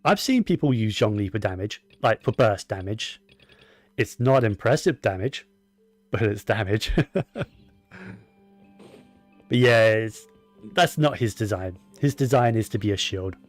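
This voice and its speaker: A man's voice: fairly low pitch, expressive, a little slow, pauses often, dry recording, faint music behind. A man giving casual gameplay commentary, rambling and opinionated, often talking to himself as he plays. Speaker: gaming streamer.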